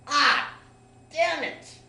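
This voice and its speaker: A man's voice: very high voice, extremely slow, vocal fry, bright, forceful tone, full character voice. A man playing a defiant young rookie mercenary at an informal script table read. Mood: pained. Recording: echoey room, distant mic, faint noise.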